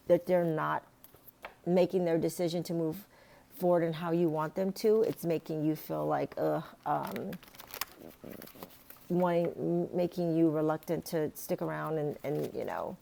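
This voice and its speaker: A woman with a raspy, hoarse voice giving a casual tarot reading, rambling with many fillers and restarts. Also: deep voice, dark tone, slight background noise. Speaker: tarot reader.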